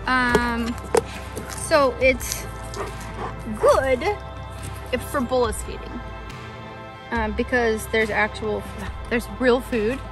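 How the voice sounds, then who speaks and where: A woman in her thirties with a fairly high voice who talks slowly and playfully, tossing in silly sound effects. She is filmed outdoors on a phone held at arm's length, with faint music behind. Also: fairly even intonation, low-quality recording. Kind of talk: casual vlog chat. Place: outdoors on a rural homestead.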